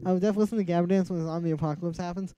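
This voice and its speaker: A young man with a nasal voice hosting a casual music production livestream from a small room at home. He talks in a relaxed, rambling way, full of "like" fillers, and mumbles asides to himself. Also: sits high, rapid-fire, smooth, no echo, dull audio.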